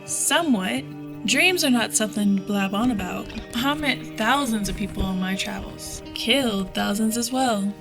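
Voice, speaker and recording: A woman's voice: mid-range voice, normal speed, lightly acted. An actress playing a sly, hard-bitten female bounty hunter in an audio drama, cool, sardonic and taunting. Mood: skeptical, menacing. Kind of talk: dramatic audio fiction acting. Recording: music under the voice.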